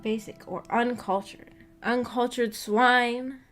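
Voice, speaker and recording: A young woman with a fairly high voice talks casually to a live chat. She rambles and hesitates as she works out her thoughts, in a self-effacing, giggly manner. Soft background music plays underneath. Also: steady tone, takes it slowly. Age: young adult. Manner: hesitant, rambling, thinking aloud. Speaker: streamer reading and answering live chat.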